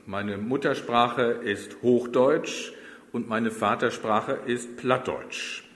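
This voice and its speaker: Middle-aged pastor in a reverberant church. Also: male voice, medium pitch, slow, a bit bright. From Germany. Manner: conversational, addresses the congregation directly. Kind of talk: sermon. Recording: roomy church with long reverb.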